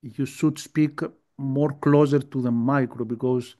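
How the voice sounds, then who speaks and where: A man's voice: medium pitch, fairly even intonation, unhurried, dark tone. A man moderating a conference, politely and hesitantly interrupting the speaker. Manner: apologetic, hesitant.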